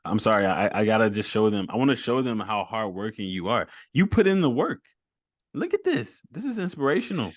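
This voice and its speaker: A deep-voiced man hosts a relaxed interview over a video call. He talks with upbeat encouragement and easy slang. The recording is dry, with no room sound. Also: brisk, dry recording. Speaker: host.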